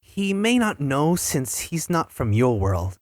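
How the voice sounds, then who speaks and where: A man voicing an excitable, cocky young cartoon hedgehog in a fan audio drama, boastful and eager. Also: fairly high pitch, takes it slowly, background noise. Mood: earnest.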